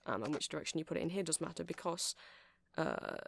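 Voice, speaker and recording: A man's voice: fairly high pitch, lively intonation, fast, vocal fry, a bit bright, slight background noise. A man playing a nerdy, friendly vampire music shop clerk in an ASMR roleplay, rambling and hesitant as he explains things. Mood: chatty, nerdy. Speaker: shopkeeper character in ASMR roleplay.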